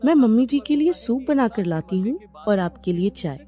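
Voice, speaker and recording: A woman playing a gentle, dutiful young Indian daughter-in-law, deferential in a cartoon story. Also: a little high, lively intonation, moderate pace. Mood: dutiful, caring. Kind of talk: Hindi animated moral story dialogue. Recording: music bed behind the voice.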